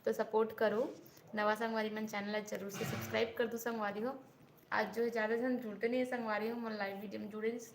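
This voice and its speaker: Young woman, Chhattisgarhi accent, live-stream chatter, flat even voice, echoey room, faint hiss. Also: on the high side, fast talker. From Raipur district, Chhattisgarh. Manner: chatty, reads out viewer comments and keeps asking for subscribes. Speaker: vlogger hosting a live stream. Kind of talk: casual live-stream chat with viewers.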